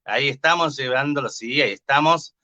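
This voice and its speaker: A man hosting a radio interview, eager and quick as he welcomes and questions his guest. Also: medium pitch, bright, forceful tone. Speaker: radio host. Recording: dull lo-fi sound.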